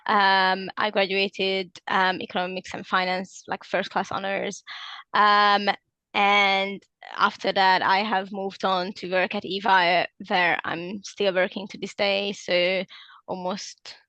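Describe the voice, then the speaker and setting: A woman's voice: mid-range voice, flat delivery, takes it slowly, bright, forceful tone, no echo, lo-fi sound. A woman in her twenties from Hungary, speaking, answers interview questions casually and hesitantly, with many ums and self-corrections. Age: 20s. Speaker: alumna interviewee.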